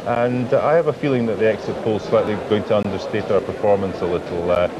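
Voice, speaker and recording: A middle-aged male politician interviewed at an election count hall, answering in a measured way with many fillers. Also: on the low side, crowd noise. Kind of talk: live election night interview. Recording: dull broadcast audio with hall noise.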